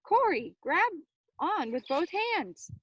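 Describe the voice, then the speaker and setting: A woman's voice: extremely high pitch, very animated, slow pace, no room sound, highs cut off, voice pushed up, exaggerated voice. A woman voicing a brave boy rescuer in a storybook, urgent and reassuring as he gives instructions. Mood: strained, urgent.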